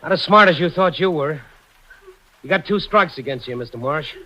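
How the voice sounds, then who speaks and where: A man's voice: fairly high pitch, lively intonation, moderate pace, natural voice. A man playing a devoted, earnest and wry husband with emphatic delivery in 1940s-style radio thriller acting. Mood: confident, wry. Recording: dull old radio recording.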